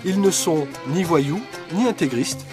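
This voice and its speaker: A man narrates a documentary in a measured, earnest voice that sits a little high. Music plays clearly beneath his words. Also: expressive. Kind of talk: scripted voice-over narration.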